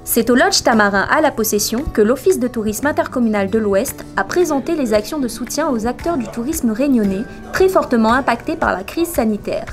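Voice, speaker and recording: A woman's voice: on the high side, expressive, a little fast, few pauses. A woman reading scripted narration for a news report. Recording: voice over clear background music. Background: music.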